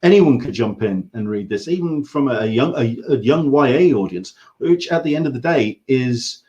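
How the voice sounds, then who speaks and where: A man's voice: mid-range voice, expressive, moderate pace, mellow, highs cut off. An English man hosting a casual interview, circling hesitantly around his questions. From England. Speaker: interview host.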